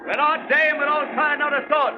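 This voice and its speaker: A man's voice: very high-pitched, fairly even intonation, brisk. A man playing an authoritative ship's captain, hollering orders in 1930s radio drama style. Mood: commanding. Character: authoritative ship's captain addressing his crew. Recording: muffled old radio recording, heavy noise, crowd clamour.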